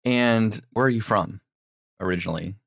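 A man hosting a relaxed podcast interview, talking in a very low voice. He chats loosely, rambles and often restarts his sentences. The sound is dry and close. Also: normal speed, creaky, slightly rough, no echo. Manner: chatty, rambling, with repeated restarts. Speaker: podcast host. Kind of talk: casual interview.

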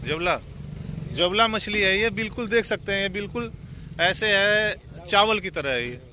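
A man's voice: high voice, animated, normal speed, bright, forceful tone. A man vlogging on location, asking curious questions, explaining to viewers and repeating answers back, recorded outdoors on a handheld phone. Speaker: vlogger interviewing fishermen. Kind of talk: casual on-location vlog commentary with questions. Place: outdoors on fishing boats at a harbour. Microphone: handheld phone, behind the camera. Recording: noisy outdoor phone recording, engine and harbour ambience.

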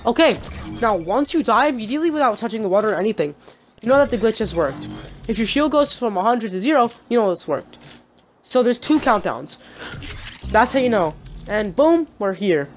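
A gaming channel host with a raspy voice and a sore throat, talking through a gameplay tutorial in a rambling, chatty way. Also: mid-range voice, sing-song, a little fast, soft background music.

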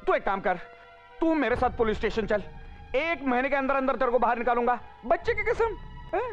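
A man's voice: on the high side, big pitch swings, normal speed. A young man playing a scheming, cowardly politician in a gangster film spoof, theatrical and repeating his phrases. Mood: frightened, pleading. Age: young adult. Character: scheming, corrupt politician who turns cowardly and pleading. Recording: muffled audio with background music.

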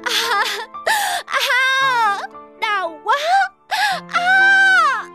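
Young labouring wife played by a woman: extremely high, slow agonised cries, cartoon dub, music behind. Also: very animated, heavily acted. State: crying out in pain. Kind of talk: cartoon dubbing.

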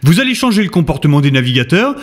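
A man acts out an exasperated chairman in a comic radio play. His voice is fairly high and leaps around wildly, dripping with sarcasm and flippant impatience. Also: very animated, fast talker. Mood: impatient, dismissive. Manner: sarcastic, hesitant fillers, swooping outbursts. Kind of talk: comedic radio sci-fi acting.

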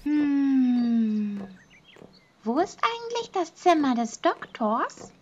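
A woman's voice: sits high, sing-song, extremely slow, a little mellow, lo-fi sound, slight background noise, exaggerated voice. A woman playing a playful, childlike teenage girl in an anime audio drama, with a naive, curious, singsong manner.

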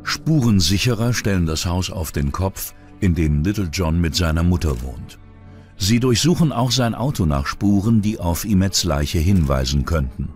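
A man's voice: low voice, animated, normal speed, darkish tone, faint music behind. A man reads scripted true-crime documentary narration.

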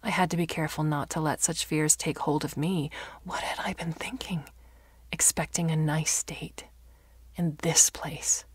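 Female fiction narrator, lowish voice, expressive, often breathy, dry studio-like sound. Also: moderate pace, no room sound. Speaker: story narrator. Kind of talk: dramatic audiobook narration of fiction.

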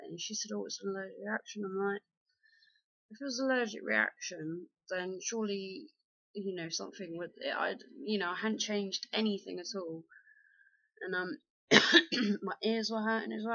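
Young vlogger, gravelly fry, dull dry webcam sound, rambly casual talk. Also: male voice, high voice, pushed and bright, dry recording. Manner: rambling, many fillers and restarts. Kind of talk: casual personal chat to camera. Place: dim bedroom indoors. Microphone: webcam at close range.

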